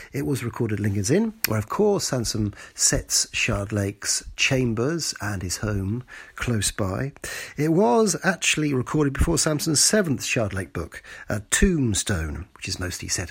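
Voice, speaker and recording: A man's voice: medium pitch, creaky, husky. A man working as a walking tour guide, chatting casually on location while he walks through streets and old courtyards, full of dates and trivia.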